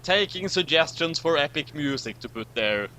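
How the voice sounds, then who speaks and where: A man's voice: on the high side, expressive, moderate pace. A man giving casual, joking commentary alongside a story being read aloud. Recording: clear background noise and hum.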